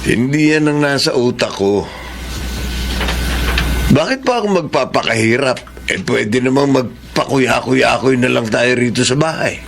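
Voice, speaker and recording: A lazy, sly schemer of a stepfather in a Filipino radio drama. He gripes brazenly with a widely swinging pitch, and there is clear noise behind him. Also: lively intonation, measured pace. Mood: griping. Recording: noisy background.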